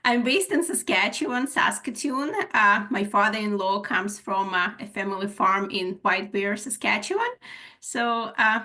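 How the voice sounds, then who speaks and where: A woman's voice: medium pitch, measured pace, sharp tone. A woman with a Ukrainian accent presenting a webinar as an HR manager, with many filler sounds as she goes through her slides. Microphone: video call.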